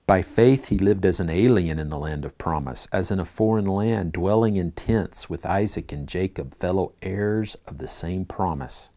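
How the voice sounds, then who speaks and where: A man with a low, mellow voice leads a church Bible class. He reads the passages aloud and talks them through earnestly, stopping to put questions to the class. He speaks in a teaching tone. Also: moderate pace, hardly any pauses.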